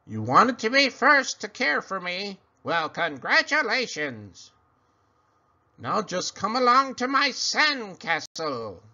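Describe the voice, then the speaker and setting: A man voicing a small, bossy female sand creature who cackles and speaks slowly and commandingly. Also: high-pitched, huge pitch swings, bright, forceful tone, pitched above the performer's own voice, heavily acted. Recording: dull lo-fi sound.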